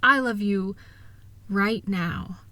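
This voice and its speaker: A woman playing a loving, reassuring wife in an intimate comfort roleplay, speaking in a gentle, soothing, affectionate way. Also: mid-range voice, extremely slow, no room sound. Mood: tender, reassuring. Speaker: audio roleplay voice performer.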